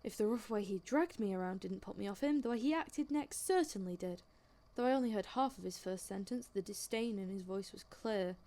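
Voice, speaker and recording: A woman's voice: mid-range voice, brisk, warm tone, natural voice. A woman playing an indignant, sarcastic young witness in an audio drama, speaking in a colloquial, exasperated way. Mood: indignant recounting. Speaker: voice actor in a fan audio drama. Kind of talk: audio drama acting, conversational monologue.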